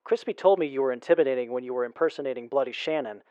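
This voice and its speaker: A man's voice: mid-range voice, racing, warm tone, clean tone, no room sound, muffled recording, natural voice. A man playing a sincere, principled wasteland traveler in an audio drama, speaking a little hesitantly. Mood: concerned, hesitant.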